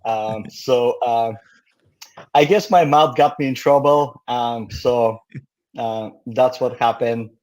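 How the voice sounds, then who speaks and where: Interview guest: mature male, Bulgarian accent, candid storyteller who pauses and searches for words. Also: mid-range voice, a little mellow, poor audio. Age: middle-aged or older. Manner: halting, candid. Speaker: guest, company founder.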